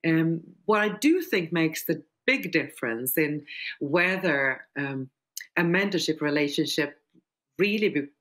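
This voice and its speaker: Older lady on a video call panel, low voice, explains things slowly with stops and starts. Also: lively intonation, fairly bright tone. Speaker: panel speaker and mentor. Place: small furnished room indoors.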